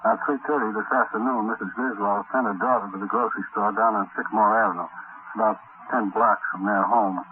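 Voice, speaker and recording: An actor playing a grave, plain-spoken American police lieutenant in a 1950s radio drama, briefing detectives in a businesslike way. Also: male voice, lowish voice, fairly even intonation, a little fast, distant mic, lightly acted. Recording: tinny, noisy old radio recording.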